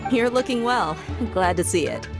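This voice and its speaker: A woman's voice: mid-range voice, lively intonation, moderate pace, close to an everyday voice. An actress playing a bold, easygoing mercenary woman in a video game, casual, confident and teasing. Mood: teasing, friendly. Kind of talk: video game character acting. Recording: music behind the voice.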